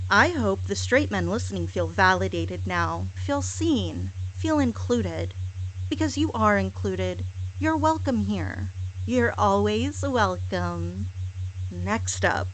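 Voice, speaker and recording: A woman delivering a scripted monologue in a sarcastic, deliberate manner, with repetitive phrasing. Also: medium pitch, a bit bright, poor audio. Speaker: hypnosis audio performer. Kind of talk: scripted monologue, mock apology.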